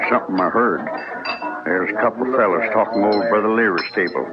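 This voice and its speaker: A man's voice: on the low side, fast, highs cut off, over loud music, lightly acted. A man playing a sheepish frontier town drunk in a 1950s radio western, rambling in hesitant, folksy rustic talk. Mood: sheepish, confiding.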